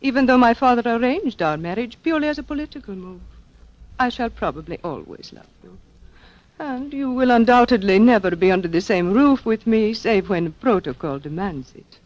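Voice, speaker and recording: A woman's voice: fairly high pitch, expressive, normal speed, a little mellow, lightly acted. An actress playing a lovelorn foreign queen in 1940s radio style, dramatic, tender and formal, on a muffled old recording. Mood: wistful, loving. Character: lovelorn, wistful foreign queen, devoted wife.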